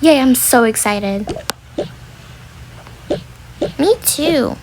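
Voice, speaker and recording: An excitable young kid, put on by a woman in a homemade beach role play. The voice is high and prone to whining and exclaiming. There is clear noise on the recording. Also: a little slow. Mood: excited. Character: whiny, excitable young child on family beach day. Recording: noisy home recording with clicks.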